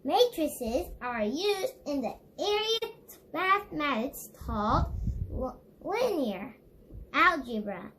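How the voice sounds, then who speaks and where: Slow, very high, childlike female voice. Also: sharp tone, a little hiss or hum, low-quality recording. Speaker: read-along book reader. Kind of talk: read-aloud of a children's educational book.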